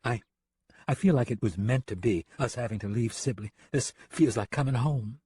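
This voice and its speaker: A man's voice: mid-range voice, moderate pace, mellow, breathy edge, no room sound, clean studio sound. A man acting an earnest, tender western woman. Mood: tender, tearful. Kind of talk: western audiobook character acting.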